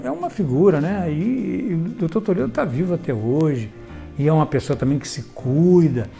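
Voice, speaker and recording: A man hosting a tribute web series, speaking earnestly and haltingly with many restarts. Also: fairly high pitch, dark tone. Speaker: host.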